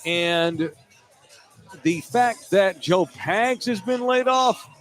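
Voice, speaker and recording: A man's voice: high-pitched, extremely slow, fairly bright tone, faint music behind. A man hosting a casual sports podcast, joking crudely and reading out viewer comments. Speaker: podcast host. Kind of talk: casual sports talk with a co-host.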